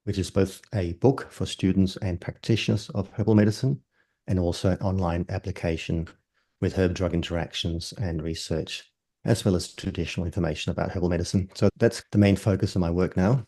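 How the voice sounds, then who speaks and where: An older man from Denmark, a herbalist calmly explaining remedies in an interview, defining terms as he goes. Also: low-pitched. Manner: measured, explains terms step by step.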